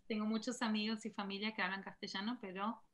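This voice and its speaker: A woman's voice: mid-range voice, fairly even intonation, fast talker, a bit bright. A woman, a guest in an online panel, telling her own story casually, with many fillers and self-corrections. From Argentina. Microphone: video call.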